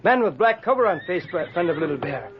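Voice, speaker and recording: An actor, a man, playing an earnest, loyal young Comanche boy in halting broken English for a radio western. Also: high-pitched, sing-song. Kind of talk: 1950s radio western acting. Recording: muffled, noisy old radio recording.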